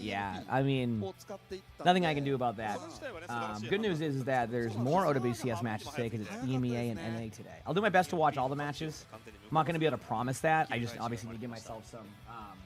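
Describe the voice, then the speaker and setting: Streamer guy rambling to chat, lots of ums, voice getting a lil hoarse, music going in the back. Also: medium pitch. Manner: rambling, chatty, many fillers and restarts. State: losing his voice a little. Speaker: game streamer. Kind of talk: casual live-stream chat while watching esports.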